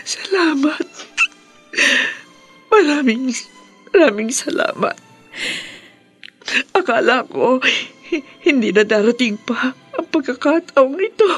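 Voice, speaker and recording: An actress playing a humbled, remorseful mother in a melodramatic Filipino radio drama, with a Filipino accent and halting, emotional delivery. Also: high voice, animated, husky, soft background music, heavily acted. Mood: weeping remorse. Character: remorseful mother of a grown daughter, tearful, humbled. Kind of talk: melodramatic Filipino radio soap opera acting.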